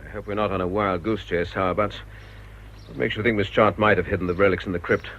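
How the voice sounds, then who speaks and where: An actor playing a pompous, easily irritated British husband in a radio drama, speaking in a formal, condescending way. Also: male voice, on the low side, fairly even intonation, fast talker, fairly bright tone. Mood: dry, formal. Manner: formal, wordy, dry and condescending. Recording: dull, noisy radio drama recording.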